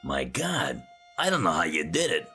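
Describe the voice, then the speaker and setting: Gruff cartoon cab driver, deep and swinging widely in tone, grudging but loyal. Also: male voice, huge pitch swings, normal speed, sharp tone, full character voice. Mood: flustered, impressed. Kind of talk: cartoon adventure game acting. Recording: music under the voice.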